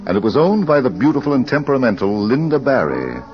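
A man playing a mysterious crime-club librarian, narrating in a theatrical, measured, confiding 1940s radio mystery style. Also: medium pitch, big pitch swings, soft background music, lightly acted. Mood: suspenseful storytelling. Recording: muffled, hissy old radio recording.

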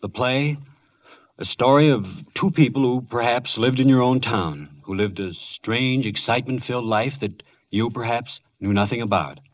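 A man hosting a radio drama, giving formal closing announcements. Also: mid-range voice. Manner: measured, formal. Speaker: radio host and writer. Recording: lo-fi 1940s radio broadcast.

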